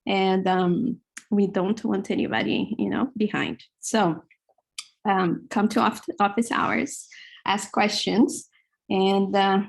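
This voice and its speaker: A middle-aged woman with a Hebrew accent giving a university lecture in a large hall, halting with many fillers. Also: mid-range voice, fairly even intonation, creaky. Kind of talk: classroom lecture.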